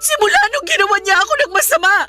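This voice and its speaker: A woman's voice: extremely high pitch, expressive, racing, bright, forceful tone, heavily acted. A woman playing a hurt young niece standing her ground in a Filipino radio drama, pleading yet defiant. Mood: tearful, pleading.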